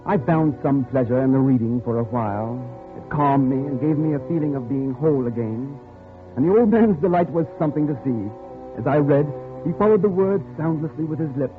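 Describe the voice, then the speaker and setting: An actor playing an uneasy, polite man who tells his story in a measured, reflective way in a 1940s radio drama. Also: medium pitch, expressive, phone-quality sound, close to an everyday voice. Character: uneasy, polite male visitor narrating his captivity. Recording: thin old radio recording with music.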